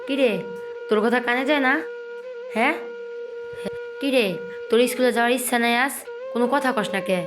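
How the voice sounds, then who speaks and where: Woman acting high-voiced scolding Bangladeshi village mother. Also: fairly even intonation, fairly quick, long pauses, natural voice. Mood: scolding, impatient. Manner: nagging, sharp-tongued. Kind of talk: cartoon voice acting. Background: flute music.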